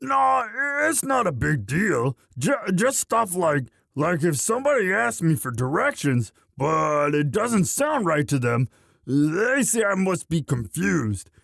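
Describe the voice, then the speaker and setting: A man playing a young orc, a gentle himbo sweetheart who talks in a halting, stammering, bashful and earnest way in a romantic roleplay audio. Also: on the high side, very animated, vocal fry, no room sound, clean studio sound, full character voice. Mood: earnest, reassuring.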